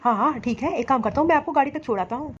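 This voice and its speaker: A high-pitched, fast-talking cartoon bachelor voiced by a woman. He is boastful and touchy, full of smug bantering retorts. The audio is slightly dull and lo-fi. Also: fairly bright tone, slightly rough. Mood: polite. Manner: bantering, self-satisfied retorts. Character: boastful, touchy balding bachelor, quick with insults. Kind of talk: Hindi comedy cartoon dialogue.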